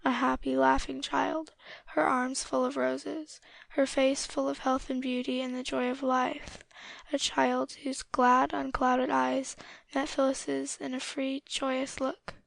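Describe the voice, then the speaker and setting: A high-voiced woman narrates a story from a script. Her audiobook reading has some creak in it and a dry, close sound. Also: little pitch movement, slow, no room sound.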